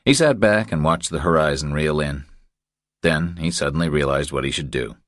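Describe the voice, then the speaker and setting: Very deep-voiced male thriller narrator. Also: normal speed, a bit bright, no echo, clean studio sound. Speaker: audiobook narrator. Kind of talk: scripted narration of a thriller novel.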